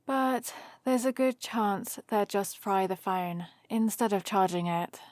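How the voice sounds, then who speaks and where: Woman playing a friendly human heroine, slow unhurried pace, playful teasing, casual explaining, dry close sound, ASMR roleplay. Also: mid-range voice, darkish tone, dry recording, close to an everyday voice. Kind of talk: ASMR roleplay, one-sided conversation with the listener.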